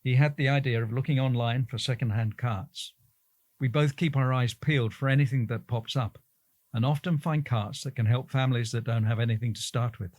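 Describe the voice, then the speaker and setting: Man, scripted interview answers, average pitch, echo-free close recording. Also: steady tone, a little fast, darkish tone.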